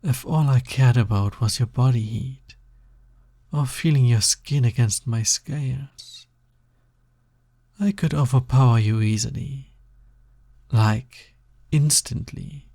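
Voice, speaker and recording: Husky, unhurried man as a teasing snake demon. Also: mid-range voice, dark tone, dry recording, clean studio sound. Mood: smug, flirty. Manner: teasing, coaxing, casual. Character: flirty, pushy male naga snake demon roommate. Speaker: audio roleplay voice actor. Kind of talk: intimate ASMR-style audio roleplay.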